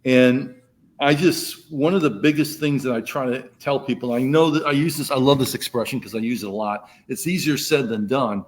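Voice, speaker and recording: A middle-aged man from the Mid-Atlantic US co-hosting a podcast, talking loudly in casual chat and repeating words as he starts a thought. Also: medium pitch, moderate pace, a little hiss or hum. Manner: loud, rambling, repeats words when starting. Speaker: podcast co-host.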